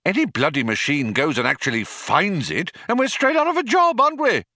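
A man's voice: a little high, huge pitch swings, brisk, sharp tone, no room sound, clean studio sound, pitched above the performer's own voice, heavily acted. A man voicing an angry, pedantic older philosopher, indignant and argumentative like a stroppy union man.

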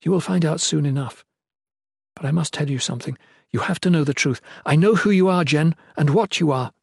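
A man's voice: on the high side, a little fast, gravelly, darkish tone, slightly rough, no echo, clean studio sound, voice pushed up. A man voicing a commanding young queen in a fantasy audiobook, imperious and pleading, desperate and sorrowful. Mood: urgent, confessing.

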